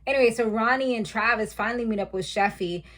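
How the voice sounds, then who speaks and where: A woman's voice: a little high, quick pace, fairly bright tone. Chatty woman in her thirties recapping TV. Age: 30s. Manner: chatty, slangy, laughs at her own asides. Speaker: reality TV recap host. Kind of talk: casual recap commentary.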